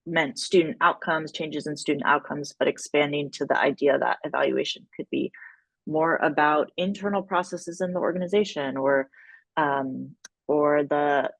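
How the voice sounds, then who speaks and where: A woman presenting feedback informally at a workshop, with measured, hesitant phrasing and searching pauses. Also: deep voice, dry recording, poor audio. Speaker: workshop presenter on an evaluation team.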